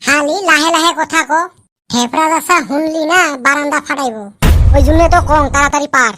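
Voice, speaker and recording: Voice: high voice, a little fast, sharp tone, a little hiss or hum. A performer voicing a mischievous, bickering village boy in a comedy cartoon, speaking in the Rajbongshi dialect of northern Bengal. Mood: bossy, scheming. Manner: colloquial, bantering, complaining.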